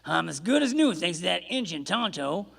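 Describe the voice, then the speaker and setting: A man playing an impatient, grumbling Old West rancher with a gravelly voice in a live radio play in an echoey hall. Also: high-pitched, big pitch swings, a bit bright, heavily acted. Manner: folksy drawn-out cowboy grumbling.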